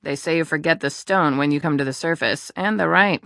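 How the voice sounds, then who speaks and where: A blunt female dwarf serving in a fantasy game tavern. Her voice sits a little low for a woman, recorded dry, and she talks with earthy, sardonic bite. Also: brisk, gravelly, fairly bright tone, no echo. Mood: teasing, dismissive. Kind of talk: video game character dialogue.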